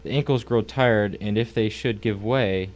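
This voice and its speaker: A man's voice: lowish voice, natural voice. Man playing a suave, taunting villain. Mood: taunting, sly. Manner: formal, mocking, unhurried politeness. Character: suave, taunting male villain in a suspense story. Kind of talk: audio drama acting.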